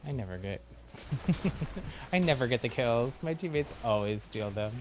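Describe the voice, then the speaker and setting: A man's voice: medium pitch, animated, measured pace. A man giving casual, easygoing live commentary on his own gameplay, talking to himself as he plays. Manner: easygoing, talks to himself while playing. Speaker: game streamer. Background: video game music.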